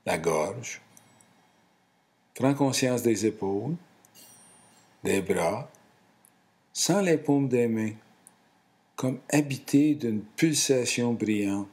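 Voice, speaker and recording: A man leading a guided relaxation in a calm, soothing and deliberate way, in short phrases. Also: mid-range voice. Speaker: guided meditation instructor.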